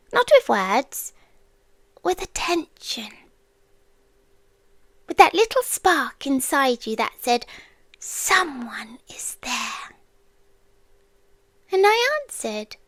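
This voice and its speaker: An ancient, powerful female watcher played by a British woman speaks in a high, unhurried British voice. She is possessively devoted and intimate, often slipping into whispers. The ASMR recording is close and very dry, with each phrase placed deliberately. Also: a bit bright, no room sound, heavily acted. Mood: wistful, confessing. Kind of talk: ASMR roleplay, scripted intimate monologue. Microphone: close microphone, dry.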